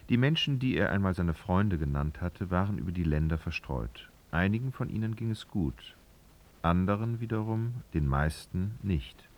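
A man narrating a literary radio drama in a husky voice, with dramatic, sardonic storytelling. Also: low-pitched, heavily acted.

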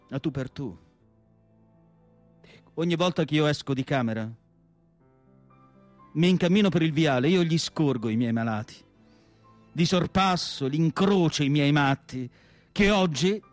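A male actor playing a tormented asylum psychiatrist in a solemn, deliberate radio monologue with dramatic pauses. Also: on the high side, dry recording, close to an everyday voice. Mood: bitter, musing. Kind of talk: dramatic literary monologue, theatrical radio acting.

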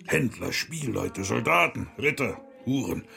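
A man's voice: mid-range voice, big pitch swings, takes it slowly, creaky, bright, forceful tone, full character voice. A man playing a defiant, boisterous, earthy monk in a German radio play, with a coarse, mocking manner.

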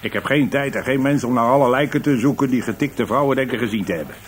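An actor playing a gruff, irritated police inspector in a radio drama, curt, weary and dismissive. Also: male voice, medium pitch, quick pace, lightly acted. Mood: weary, impatient. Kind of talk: Dutch radio drama acting. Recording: noisy old radio drama recording.

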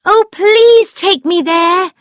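A woman's voice: sits high, expressive, slow, no echo, clean studio sound, pitched above the performer's own voice. A woman voicing an earnest, lovestruck young English actress in a storybook reading. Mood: excited.

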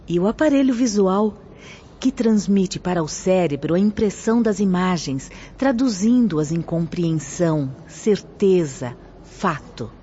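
An actress playing a gentle, solemn female spirit teacher in a radio drama, with a Brazilian accent and reverent, declamatory phrasing. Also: fairly low pitch, lively intonation, measured pace, slight background noise. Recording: dull lo-fi audio under music.

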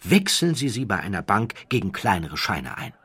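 A man's voice: lowish voice, big pitch swings, fairly bright tone, breathy edge, no echo, heavily acted. Man voicing a suave, confiding con-man count. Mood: instructing. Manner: confiding, deliberate. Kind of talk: audiobook character voice.

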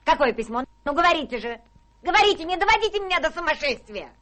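A woman's voice: sits high, sing-song, fast, a bit bright. An actress playing a capricious, domineering rich old lady prone to hysterics, in a theatrical radio-drama style. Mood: outraged, frantic. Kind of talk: stage-trained radio drama acting.

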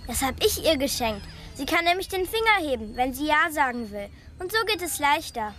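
Little girl, really high voice, chatty but creepily matter-of-fact, radio play with music behind. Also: sing-song, normal speed, fairly bright tone. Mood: friendly. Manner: matter-of-fact, childlike storytelling. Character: chatty little girl, eerily indifferent to horror. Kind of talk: radio drama acting.

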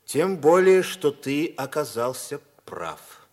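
An actor playing a fatherly, ageing male teacher with a husky voice and a hearty, solicitous manner in a 1950s radio drama. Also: fairly high pitch, expressive, slow. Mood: serious, insistent. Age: older. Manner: hearty, exclamatory, paternal. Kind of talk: 1950s radio play acting.

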